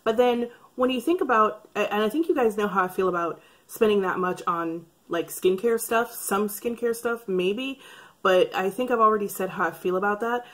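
A woman in her thirties, a beauty vlogger, talking casually to camera in a chatty, wry way with a slightly raspy voice from breathing trouble. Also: medium pitch, moderate pace, a bit bright. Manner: chatty, wry, rambling asides. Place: bright room by a curtained window. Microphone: camera framed head and shoulders.

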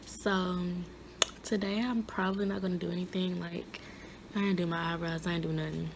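A young woman in her twenties chatting casually in a vlog from her dorm room, her voice hoarse, filmed on a phone held at arm's length. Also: lowish voice, flat delivery, brisk. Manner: chatty, rambling, everyday. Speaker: vlogger. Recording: noisy phone recording.

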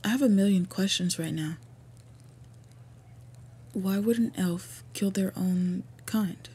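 A woman playing an earnest, respectful young orc princess in a fantasy roleplay audio, speaking softly and haltingly with tender pauses. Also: mid-range voice, husky, heavily acted. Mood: questioning, subdued.

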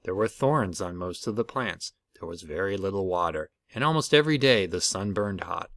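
Male storybook reader, expressive, fairly low pitch, little room sound. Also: moderate pace, dry recording, studio quality. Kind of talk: expressive read-aloud of a children's picture book.

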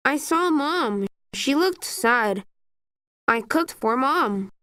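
A woman's voice: high-pitched, expressive, takes it slowly, pushed and bright, no room sound, exaggerated voice. A woman voicing an earnest, caring young boy frog in a children's language-lesson cartoon. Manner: earnest, simple short sentences.

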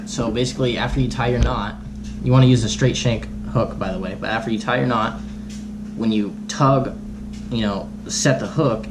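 A man's voice: on the low side, noisy background. A young man in his twenties casually demonstrating fishing knots at a table in a small room, talking in an easygoing, chatty way full of "you know" and "like". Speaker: fishing vlogger. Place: small bedroom at a table, indoors. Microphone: camera close in front of him.

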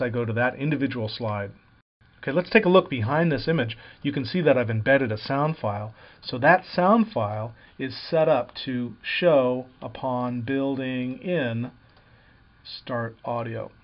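A man narrates a step-by-step software walkthrough in a plain, explanatory way, pausing often with uh and um. His voice sits at an ordinary middle pitch. The recording is dull and lo-fi, made at a desktop computer. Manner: plain explanatory, frequent "uh" and "um" fillers. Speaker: software tutorial presenter.

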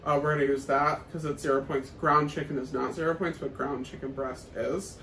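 A young man chats casually as a vlog co-host, with playful, colloquial phrasing. His voice sits a little high. The sound is roomy and slightly distant, with faint noise. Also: level tone, brisk, bright, forceful tone. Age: young adult. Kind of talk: casual grocery haul chat to camera. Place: small kitchen at home, indoors. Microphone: camera a few feet away.

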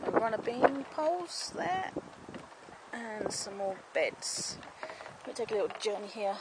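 A woman's voice: on the high side, lively intonation, a little slow. A woman giving a casual, homely walking tour of her allotment garden, chatting and explaining as she films on a handheld camera outdoors. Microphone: handheld camera, speaker off screen. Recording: outdoor camera audio with clear background noise.

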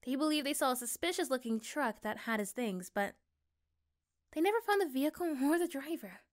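A woman playing an affectionate, reassuring young girlfriend in a soft, gentle ASMR roleplay. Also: high voice, lively intonation, brisk. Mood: storytelling. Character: affectionate, reassuring young girlfriend chatting intimately to her partner.

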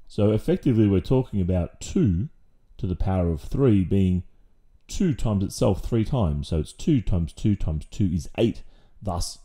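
A dominant, exasperated Australian male teacher, played by a man in an ASMR roleplay. His voice is low and very mellow, with a dark tone. He takes his time over each phrase, dry and sarcastic, with pointed pauses. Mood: dryly encouraging.

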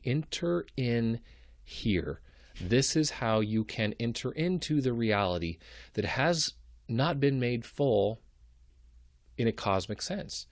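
A man's voice: mid-range voice, no room sound, clean studio sound. A man in his thirties giving a recorded theology lecture from a small home office, speaking earnestly and building emphasis through repeated phrases. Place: home office with bookshelves, indoors. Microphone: webcam at desk.

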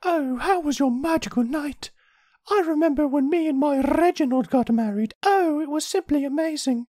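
A man voicing a gushing, nosy older female relative in a breathy falsetto for an ASMR roleplay. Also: moderate pace, darkish tone, dry recording, clean studio sound. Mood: gushing, nostalgic.

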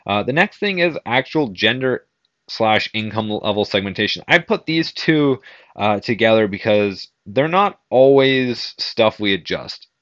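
A man's voice: fairly low pitch, a bit bright, no room sound, dull audio. A young man giving a casual, conversational screen-share tutorial as a marketing instructor. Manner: informal, conversational, explanatory.

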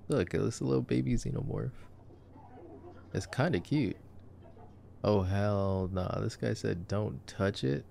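A man's voice: on the low side, measured pace, creaky, pauses often, mellow, slight background noise. A young man giving casual, joking, intimate movie-watching commentary in a boyfriend sleep-aid roleplay, full of slang. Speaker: ASMR roleplay voice actor.

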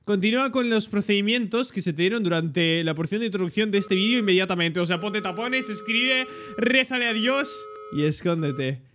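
A young man with a Peninsular Spanish accent giving excitable reaction commentary and translating aloud as he goes. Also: high voice, normal speed. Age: young adult. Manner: excitable, interjecting. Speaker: reaction video host. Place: indoor room, webcam reaction setup. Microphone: desktop streaming microphone.

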